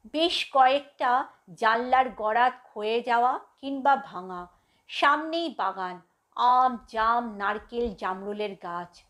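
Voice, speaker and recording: A woman narrates a story from a script in an expressive storytelling style. Her voice is high in pitch and she takes her time, with a very slow, measured pace.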